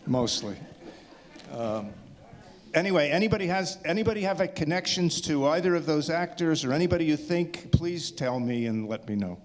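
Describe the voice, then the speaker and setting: A man speaking informally in an audience Q&A, halting and often repeating and rephrasing himself. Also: fairly high pitch, animated. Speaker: filmmaker answering questions at a screening. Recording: echoey hall, long reverb.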